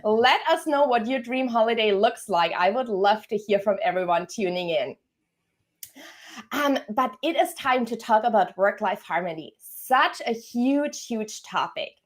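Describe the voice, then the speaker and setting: A woman from Germany hosts a live-streamed career talk. Her voice sits a little high, and she greets her guest and audience in an upbeat, welcoming way. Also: animated, unhurried. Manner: upbeat, welcoming, scripted-sounding intro. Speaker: host, career coach. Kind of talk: live-stream interview hosting.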